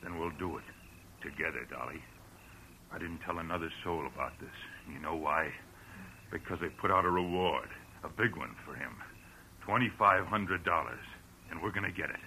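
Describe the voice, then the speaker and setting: A man's voice: low-pitched, normal speed, sharp tone, heavily acted, rough recording. An American man playing a pushy, hot-headed schemer from the country in punchy 1940s radio drama style. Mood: urgent, greedy. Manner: insistent, punchy, plain-spoken. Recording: hissy old radio broadcast recording.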